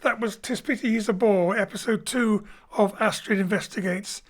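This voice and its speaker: Cheerful theatre host wrapping up. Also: female voice, mid-range voice, fairly even intonation. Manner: brisk, cheerful, jokey with the cast. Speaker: host and director of the theatre company. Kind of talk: post-show announcements. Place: home studio.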